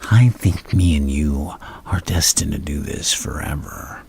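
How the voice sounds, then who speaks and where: A man playing a flirtatious, menacing, unhinged villain in a gravelly half-whisper for an ASMR roleplay, slow and teasing with murmured hums. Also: extremely low pitch, a little mellow, full character voice. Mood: obsessive, intense.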